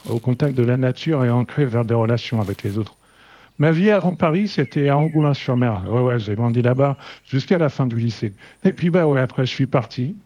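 Late-fifties male, warm dark timbre, mid pitch, informal conversational testimonial. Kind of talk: relaxed first-person testimonial.

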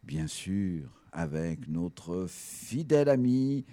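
A man's voice: sits low, very slow. A man hosting a radio show, chatting with a guest and reading a scripted chronicle in a studio. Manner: expressive, lingering over words. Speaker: radio host. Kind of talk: casual chat, then scripted radio chronicle.

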